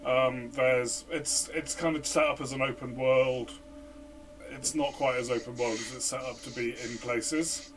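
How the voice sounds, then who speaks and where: A man's voice: mid-range voice, steady tone, a little slow, far from the mic, background noise. A man co-hosting a casual game review chat, hesitant and full of fillers and restarts. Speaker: podcast co-host, player.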